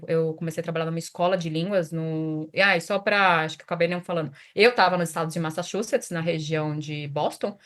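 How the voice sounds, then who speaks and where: A woman's voice: low voice, fast, few pauses, a bit bright. A woman from Brazil in her thirties, a guest in a casual interview, talking chattily with many restarts and fillers. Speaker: guest, immigration consultant.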